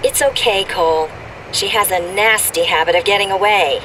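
A woman playing a brisk, encouraging secret agent colleague in an animated video game. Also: medium pitch, noisy background, natural voice. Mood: calm, supportive.

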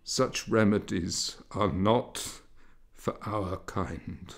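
A man voicing a world-weary demon lover who makes slow, solemn pronouncements in a storybook reading. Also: fairly low pitch, vocal fry, full character voice. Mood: weary, ailing.

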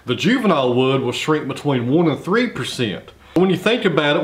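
A man, explaining things in a folksy, rambling way with lots of fillers. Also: a little high. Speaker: sawmill channel host. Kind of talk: casual explanatory vlog. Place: large open-sided sawmill shed. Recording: roomy echo in a shed.

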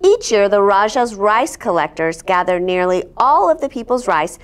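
A woman in her forties reading a picture book aloud to children as host, expressive and posing simple questions. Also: medium pitch, moderate pace, smooth. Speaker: storyteller, host. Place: indoor studio set at a table. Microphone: lapel.

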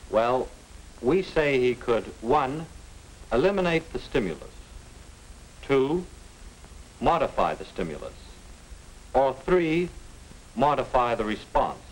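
A middle-aged American man reading scripted narration as a lecturer, didactic and deliberate. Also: medium pitch, poor audio. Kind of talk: scripted educational film narration. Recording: hissy 1950 film soundtrack.

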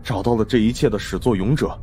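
A man's voice: on the low side, fast talker, dark tone, slight background noise, pitched above the performer's own voice, close to an everyday voice. A man voicing a defeated young cult leader in an audio story, weary and resigned as he explains himself. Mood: weary confession.

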